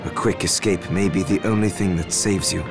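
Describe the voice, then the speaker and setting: A man's voice: low-pitched, fairly even intonation, brisk. A man playing an aloof, learned alchemist in a video game, speaking in a curt, formal, cool manner. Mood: cool, explanatory. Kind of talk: video game character acting. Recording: music behind the voice.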